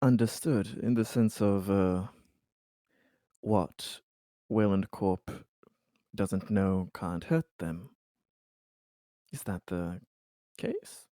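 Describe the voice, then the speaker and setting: Male RPG player, low register, very slow hesitant delivery, improvised roleplay, dry close sound. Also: animated, dark tone, dry recording. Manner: hesitant, halting, deliberate phrasing. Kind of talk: improvised in-character dialogue and table talk.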